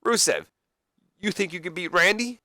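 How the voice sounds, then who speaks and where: Man putting on a high-pitched excitable wrestling emcee. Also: dry recording, studio quality. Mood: placating. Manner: halting, repeating words, placating.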